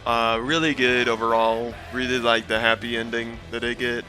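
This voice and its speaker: Bright-voiced man giving a rambling video recap. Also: medium pitch, level tone, normal speed, faint music behind. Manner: rambling, hesitant, self-deprecating. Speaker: video host and reviewer. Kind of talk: casual video commentary recap.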